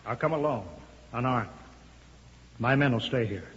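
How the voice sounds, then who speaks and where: An actor playing a cool, commanding male chief in a 1950s radio drama, speaking deliberately and with authority. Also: mid-range voice, husky, close to an everyday voice. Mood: measured, persuasive. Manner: authoritative, calmly needling, deliberate. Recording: dull muffled 1950 radio broadcast.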